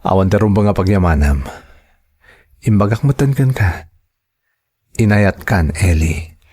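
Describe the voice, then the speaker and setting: An actor playing a soft-hearted married man devoted to his lover, hesitant and placating, in an audio drama. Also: low-pitched, lively intonation, unhurried, slightly rough, heavily acted. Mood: tender, reassuring.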